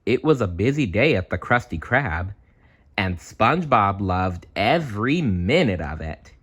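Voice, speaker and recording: A man reading a children's storybook aloud in an expressive, playful way, with chatty asides. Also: lowish voice, slightly rough. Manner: animated, unhurried, playful.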